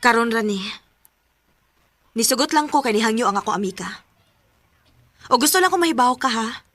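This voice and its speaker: A woman's voice: on the high side, expressive, moderate pace, fairly bright tone, no room sound. A young woman with a Cebuano accent, acting in a radio drama as a proud, blunt girl who scolds a man. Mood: cold.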